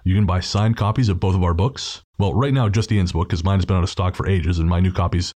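A man's voice: sits low, fairly even intonation, fast, a little mellow. A man hosting a podcast, chatting casually and informally through thanks and plugs. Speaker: podcast host.

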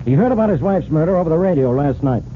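Man playing stubborn cop, raised animated voice, blustering, grumbling, old radio drama, tinny hissy recording. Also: on the high side, racing, natural voice. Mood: insistent. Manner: blustering, grumbling, sure of himself. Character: exasperated, stubborn police detective, adult man. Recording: tinny narrow-band vintage radio recording, hiss.

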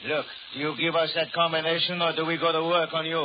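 A cruel, hot-tempered American safecracker, played by a male actor, talking in taunting gangster slang with a fairly high voice. It is 1930s radio acting on a muffled, very noisy old recording. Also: completely flat delivery, fast, lightly acted. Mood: sadistic taunting. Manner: slangy, taunting, menacing tough talk.

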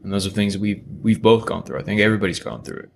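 A man's voice: on the low side, lively intonation, rapid-fire, vocal fry, a little hiss or hum. A man co-hosting a podcast, explaining his views earnestly and checking in with "right?" as he goes. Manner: earnest, reflective, uses "right?" often. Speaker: podcast co-host. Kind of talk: casual podcast chat.

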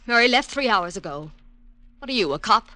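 A haughty young stepdaughter of wealth in an old radio mystery. She speaks in a bright, sharp voice with cutting, cool disdain. The sound is close and dry. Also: fairly high pitch, lively intonation, brisk, dry recording. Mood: scornful. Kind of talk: old-time radio drama acting.

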